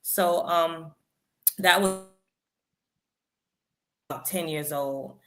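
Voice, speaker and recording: Low-voiced woman telling stories. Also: extremely slow. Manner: animated, chatty storyteller. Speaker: rapper guest. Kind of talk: interview storytelling.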